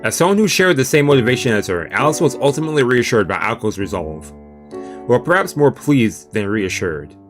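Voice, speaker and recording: Animated man reading a visual novel aloud. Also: mid-range voice, a little fast. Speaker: let's play streamer. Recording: music playing behind the voice.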